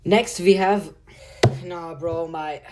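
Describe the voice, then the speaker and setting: A man's voice: fairly high pitch, fairly even intonation, slow pace, heavy background noise. A man with a slight Hungarian accent, giving casual football predictions in a vlog, rambling and leaning heavily on "like" and "bro". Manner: rambling, filler-heavy, says "like" and "bro" a lot. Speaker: football vlogger.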